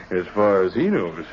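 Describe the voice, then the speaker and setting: An actor playing a scheming frontier crony with folksy diction in a 1940s radio western. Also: male voice, low-pitched, a little hiss or hum, full character voice. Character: scheming frontier crony bringing news from town. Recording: muffled old radio recording.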